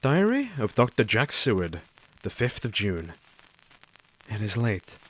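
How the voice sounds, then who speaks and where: A man voices a heartsick young doctor dictating his diary in a radio play. His low voice moves expressively as he speaks with earnest, formal care. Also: wildly swooping pitch, unhurried, fairly bright tone, slightly rough, heavily acted, low-quality recording. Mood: brooding. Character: lovelorn young male doctor keeping a diary. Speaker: asylum doctor and narrator. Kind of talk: audio drama narration.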